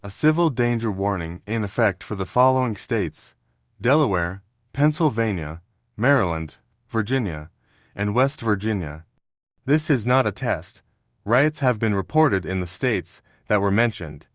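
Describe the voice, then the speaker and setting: A man plays a solemn emergency broadcast announcer. His voice is on the low side and very dry, with no room sound. He delivers scripted alerts formally and gravely. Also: lively intonation, a little slow, no echo.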